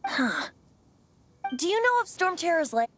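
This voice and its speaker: A woman's voice: very high voice, very animated, measured pace, a bit bright, slight background noise, heavily acted. A woman voicing a carefree young male bard god in a video game, light and playful in manner. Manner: light, playful, airy delivery. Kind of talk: video game dub acting.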